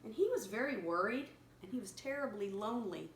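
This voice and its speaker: A woman reading a picture story aloud to a young child, pausing to explain words simply and prompt repetition. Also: fairly high pitch, lively intonation. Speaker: children's story reader and teacher. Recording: echoey room with clear background noise.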